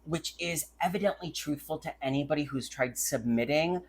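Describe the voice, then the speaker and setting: A woman's voice: deep voice, moderate pace, breathy edge. A woman co-hosting a podcast in casual, opinionated banter, peppering her sentences with "like.". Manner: conversational, heavy use of "like". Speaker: podcast co-host.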